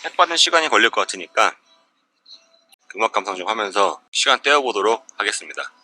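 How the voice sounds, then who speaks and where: A man's voice: lowish voice, expressive, quick pace, bright, forceful tone, soft background music. A man talking casually and politely to viewers as a camping vlogger, recorded at a winter campsite. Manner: polite, chatty, addressing the audience. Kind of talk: casual narration to viewers while camping alone. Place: winter campsite, outdoors and in a tent. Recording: clear outdoor ambient noise.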